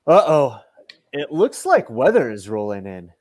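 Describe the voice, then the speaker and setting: A man's voice: mid-range voice, huge pitch swings, a little slow, darkish tone. A middle-aged man playing a whiny, self-centred, earnest adventurer in a comedic radio play over a video call. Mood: mock concerned. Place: room at home, on a video call.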